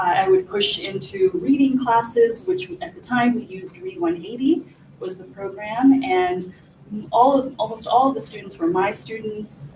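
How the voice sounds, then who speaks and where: A woman in her forties from Peru, raised in the US, presents her research in an earnest, explanatory way, her voice pitched slightly high. The recording comes from a roomy conference hall. The mic sits well away from her, and steady background noise runs under her speech. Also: distant mic. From born in Peru, raised in the US. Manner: earnest, explanatory, builds points step by step. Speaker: assistant professor presenting research.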